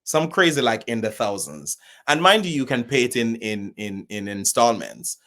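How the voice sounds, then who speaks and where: Lively Jamaican man in casual chat. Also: medium pitch, moderate pace. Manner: lively, drifts into Jamaican patois. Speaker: guest, actor and theatre producer. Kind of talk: casual podcast conversation.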